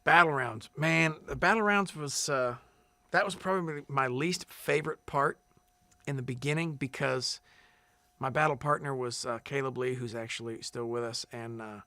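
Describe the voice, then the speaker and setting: Man, middle pitch, casual interview answers, rambling with restarts, dry close recording. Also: moderate pace, no room sound. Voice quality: big loud singing voice. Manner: relaxed, rambling, many fillers and restarts. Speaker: singing contestant.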